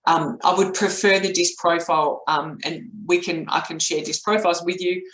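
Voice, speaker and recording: A middle-aged woman with an Australian accent and a voice croaky from a cold, explaining steps as a business coach in a webinar. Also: lowish voice, fairly even intonation, moderate pace, a bit bright. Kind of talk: explanatory webinar talk with step-by-step recap. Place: indoor home office, at a desk. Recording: roomy, echoey webcam audio.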